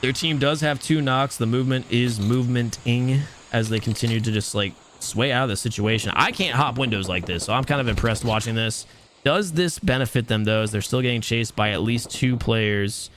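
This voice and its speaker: Young guy casting a phone shooter tourney on stream, chill soothing voice, mid pitch, chats with viewers. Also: normal speed, a little hiss or hum. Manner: relaxed, soothing tone; casual, talks to chat, many restarts. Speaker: esports tournament caster. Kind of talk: live stream esports commentary.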